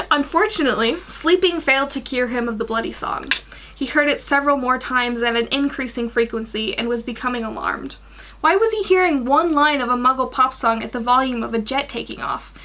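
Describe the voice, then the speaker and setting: A young woman in her twenties reading fan fiction aloud in an animated way, stumbling over the odd sentence. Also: sits high, moderate pace. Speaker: guest story reader. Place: small attic bedroom.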